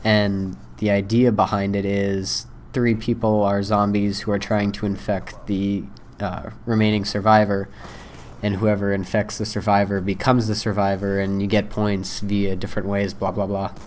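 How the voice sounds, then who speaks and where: A young man, hoarse from a cold, casually rambling through his first impressions with lots of ums and uhs. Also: lowish voice, little pitch movement, normal speed, darkish tone, slight background noise. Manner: rambling, many fillers. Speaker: gaming video creator.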